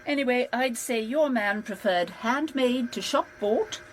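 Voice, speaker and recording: An actress playing a brisk, teasing forensic scientist in a radio drama, matter-of-fact and expert. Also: female voice, fairly high pitch, slight background noise, lightly acted. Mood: brisk, assured.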